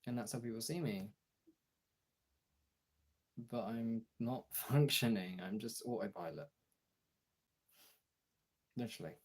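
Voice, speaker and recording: A young man streamer talking casually and frankly in a gravelly, husky voice, halting at times and swearing freely. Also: fairly low pitch. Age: young adult. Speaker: streamer and voice actor chatting to followers. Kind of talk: casual candid chat.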